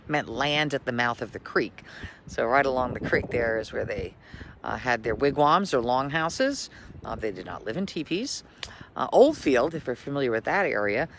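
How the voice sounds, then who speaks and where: A man's voice: mid-range voice, noisy background. A man who works as a local history tour guide, speaking in an emphatic storytelling way. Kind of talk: scripted story reading, then informal tour talk.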